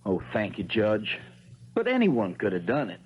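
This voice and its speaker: An actor playing an eager, clever young small-town lawyer hero in a 1970s radio drama, telling things in a folksy, theatrical way. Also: male voice, lowish voice, wildly swooping pitch, normal speed, highs cut off, loud music behind the voice. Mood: modest.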